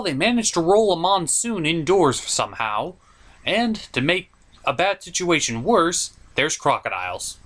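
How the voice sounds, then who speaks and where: Animated, higher-voiced man reviewing movies. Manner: theatrical, sarcastic delivery with mild swearing. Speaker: host of a puppet movie review show. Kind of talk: comedic scripted movie recap and review.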